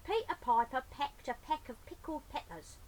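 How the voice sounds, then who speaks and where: A woman voicing a good-natured adult male burglar from a picture book, in a hearty, exclamatory manner with drawn-out emphatic words. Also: high-pitched, sing-song, darkish tone, pitched above the performer's own voice, rough recording. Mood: coaxing. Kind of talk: picture-book read-aloud character voice.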